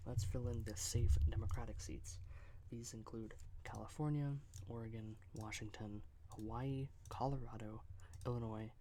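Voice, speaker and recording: A soft-spoken man giving political commentary in an ASMR style, halting with fillers and slipping into whispers. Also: medium pitch, vocal fry, slight background noise. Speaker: ASMR creator.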